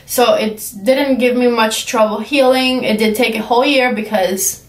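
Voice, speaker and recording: Brief: young Cuban-accented woman vlogger, chatty and rambling, voice a bit high, recorded at a distance from the mic. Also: steady tone, normal speed. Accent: Cuban Spanish. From Cuba. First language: Spanish. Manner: chatty, rambling, many fillers like "um" and "like". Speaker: beauty vlogger. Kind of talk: casual chat to camera. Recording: echoey room, mic at a distance.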